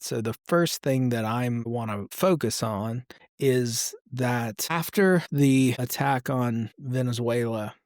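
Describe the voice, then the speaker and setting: Raspy-voiced man co-hosting a podcast. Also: mid-range voice, no echo, clean studio sound. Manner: thoughtful, hesitant restarts, wry humor. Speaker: podcast co-host, psychiatrist. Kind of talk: casual podcast discussion.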